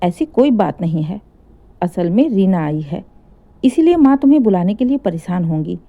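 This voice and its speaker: A woman voicing a calm, reassuring husband who speaks gently to his wife in a family story. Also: medium pitch, normal speed, phone-quality sound.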